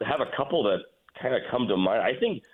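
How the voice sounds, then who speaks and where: A man's voice: mid-range voice, lively intonation, fast, husky. A man talking sports in a casual interview, explaining as a guest announcer over the phone. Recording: tinny phone line.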